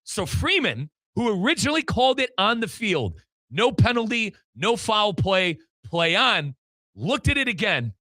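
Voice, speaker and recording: American male sports host, high register, forceful bright tone, emphatic, colloquial match recap. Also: sing-song, unhurried, no room sound. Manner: emphatic, colloquial, addresses listeners and co-host directly. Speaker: sports show host and radio play-by-play commentator.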